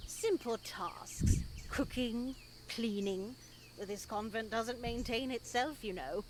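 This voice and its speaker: Slow, solemn pious nun. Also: female voice, a little high, slight background noise. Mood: instructive, reverent. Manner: measured, formal, solemn, with lingering pauses. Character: stern pious nun lecturing young women at a convent. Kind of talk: scripted visual novel voice acting.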